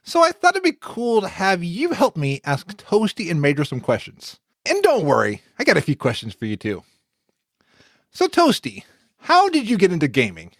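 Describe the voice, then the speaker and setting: A man's voice: high-pitched, wildly swooping pitch, normal speed, fairly bright tone, no room sound. A man hosting a casual podcast, upbeat and often stammering and repeating words, on a studio mic at home. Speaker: podcast host.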